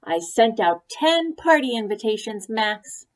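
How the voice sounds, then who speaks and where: A woman voicing a bossy, organized young girl bunny, speaking brightly and with emphasis. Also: fairly high pitch, very animated, measured pace.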